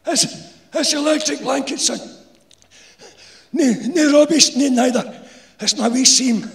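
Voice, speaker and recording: A man's voice: very high-pitched, a little slow, vocal fry, pitched above the performer's own voice, heavily acted. A man voicing a wheezing, mumbling, irritable Glaswegian pipe insulator in a live story reading. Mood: wheezing salesman. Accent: Glaswegian Scottish. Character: wheezing, irritable pipe insulator, dying smoker selling goods. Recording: echoey theatre stage.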